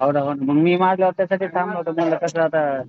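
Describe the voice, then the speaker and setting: A man's voice: a little high, steady tone, quick pace, no room sound. A man on an informal phone call as a customer, hesitant and roundabout in his questions. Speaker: customer calling about a solar pump delivery. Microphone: telephone. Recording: dull lo-fi phone call, faint noise.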